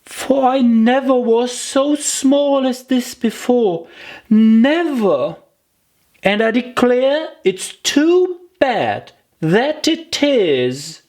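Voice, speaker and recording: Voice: medium pitch, expressive, very slow, darkish tone, voice pushed up. A storybook narrator voicing a forlorn, earnest little girl, plaintive and self-pitying in a children's tale. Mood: dismayed.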